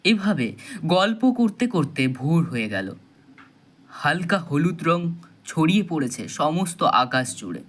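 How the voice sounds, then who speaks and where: A man narrating a thriller audio story in a dramatic, suspenseful style with measured pauses. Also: a little high, sing-song, fairly bright tone.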